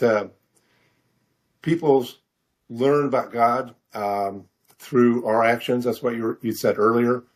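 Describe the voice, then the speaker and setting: Halting, hesitant man in an interview. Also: mid-range voice. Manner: halting, hesitant, frequent throat-clearing. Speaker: guest author. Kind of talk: interview conversation.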